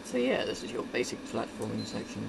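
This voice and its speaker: A woman with a croaky morning voice doing casual gameplay commentary, excitable and quick to yelp at the game. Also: rumbling low, very noisy. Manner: excitable, yelps and exclaims at the game. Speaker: gaming video host. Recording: loud game sound over the voice. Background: video game sound.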